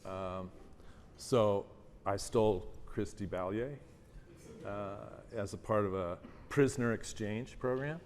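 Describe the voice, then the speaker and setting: A low-voiced man opens his lecture as the guest speaker, soft-spoken and hesitant, with many fillers. His voice rings in a long auditorium reverb over faint room noise. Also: slow. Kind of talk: lecture opening.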